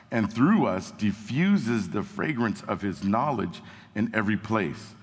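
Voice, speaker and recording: Young youth pastor guy preaching, lowish voice, chill and joking, long echo off the hall. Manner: casual and self-deprecating, reads scripture with rising emphasis. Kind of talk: sermon with scripture reading. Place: church hall with amplified sound. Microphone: amplified microphone.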